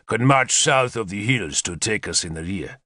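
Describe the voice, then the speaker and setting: A man's voice: fairly low pitch, expressive, normal speed, bright, forceful tone, no echo, studio quality. A man voicing a stolid male commander who speaks bluntly, in a heavy, awkward accent. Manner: terse, blunt, heavily accented.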